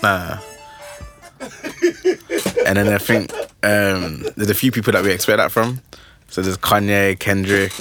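A young man hosting a podcast, chatting casually about music in a rambling, animated way with lots of restarts. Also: lowish voice, measured pace, pauses often. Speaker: podcast host.

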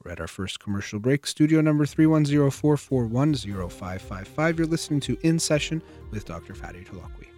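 A middle-aged man hosting a call-in psychology radio show, explaining things in a calm, measured way. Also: mid-range voice, soft background music. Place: radio studio.

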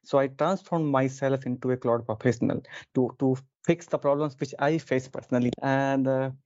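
A young adult man from India, a podcast guest, explaining point by point in a measured way with small restarts. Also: medium pitch, fairly even intonation, darkish tone, dry recording, muffled recording. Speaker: podcast guest, DevOps lead. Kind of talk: conversational podcast answer.

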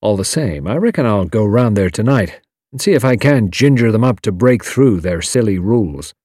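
A man's voice: lowish voice, lively intonation, normal speed, a little mellow, husky, no room sound, studio quality. A man voicing a hot-headed, defiant young in an audiobook, blunt and slangy. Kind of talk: audiobook character dialogue.

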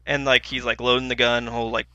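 A man's voice: mid-range voice, little pitch movement, a little fast, pushed and bright, no room sound, muffled recording. A man co-hosting a podcast in informal, slangy conversation. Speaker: podcast co-host. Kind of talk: casual chat.

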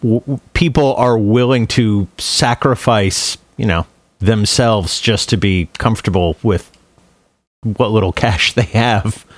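A man's voice: lowish voice, unhurried, no echo. A man on a podcast panel giving his opinions in casual conversation. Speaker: film discussion podcast panelist. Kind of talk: casual chat.

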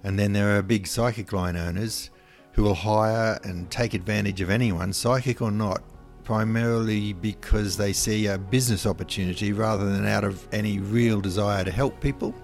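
A man interviewing a guest, asking calm questions and summing up her points. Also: on the low side, normal speed, soft background music. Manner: calm, summarising prompts.

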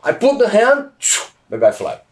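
A middle-aged Brazilian man speaking English with a heavy Portuguese accent, telling stories in an emphatic, animated way. His pitch climbs sharply when he stresses a point. He sounds a little distant from the mic. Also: moderate pace. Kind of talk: casual interview.

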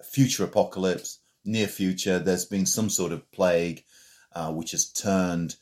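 A man hosting a film podcast, chatting in a relaxed way about what he has been playing. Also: deep voice, measured pace. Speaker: podcast host. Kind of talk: casual chat.

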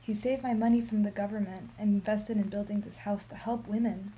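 An actress playing a sorrowful, dignified old Armenian woman in a radio play, telling her story in a solemn, measured, emphatic way. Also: mid-range voice, fairly even intonation, warm tone, natural voice. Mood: solemn remembrance.

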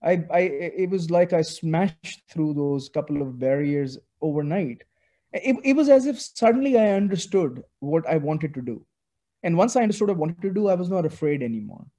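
A man from Pakistan, a guest author talking casually in an interview, animated and full of restarts. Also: fairly high pitch, normal speed. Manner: animated, many restarts and fillers.

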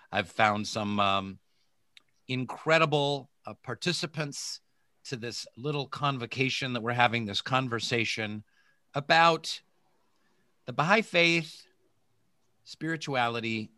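A man hosting a podcast over a video call, enthusiastic and halting, with many fillers. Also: medium pitch, sharp tone, no room sound. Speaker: podcast host. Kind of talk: casual video call chat.

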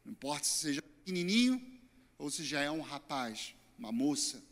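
Hoarse Brazilian pastor in reverberant hall. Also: male voice, fairly high pitch, very animated, normal speed. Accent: Brazilian Portuguese. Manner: earnest, emphatic preaching with sudden loud and soft swings. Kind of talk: sermon.

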